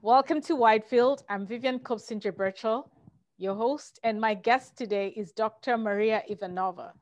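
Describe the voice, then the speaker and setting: A woman hosting and producing an interview show, introducing her guest formally over a video call, with some halting fillers. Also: fairly high pitch, no room sound, muffled recording. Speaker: host and producer. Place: home room.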